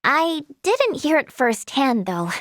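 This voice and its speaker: High, girlish, bubbly young healer. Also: very animated, slow, no room sound, exaggerated voice. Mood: earnest, informative. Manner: bubbly and girlish, stammering when flustered. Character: cheerful, earnest, easily flustered young female healer. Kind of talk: animated video game voice acting.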